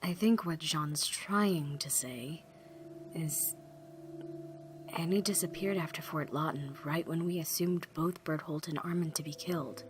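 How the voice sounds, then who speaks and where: An actress plays a serious young woman survivor with a low voice. She speaks deliberately and guardedly, as in an apocalypse audio drama, with music prominent behind her. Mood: grave, measured.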